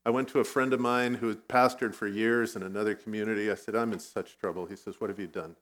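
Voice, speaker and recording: A middle-aged man who grew up in Vietnam, a guest pastor giving a conversational sermon with personal stories, now and then clearing his throat. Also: fairly low pitch, fairly even intonation, fast talker, fairly bright tone. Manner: conversational, self-deprecating storytelling.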